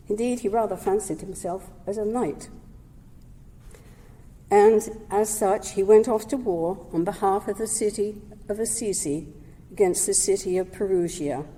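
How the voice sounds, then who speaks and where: A woman giving a prepared talk at a Sunday service, measured and gentle, sometimes correcting herself mid-word. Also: mid-range voice. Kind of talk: prepared talk read aloud. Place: service hall. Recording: long hall reverb.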